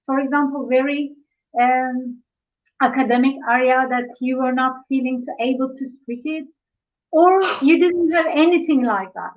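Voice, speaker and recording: A woman host whose first language is Turkish, asking questions in halting English as she searches for words. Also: sits high. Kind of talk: live-stream interview. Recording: phone-like call audio.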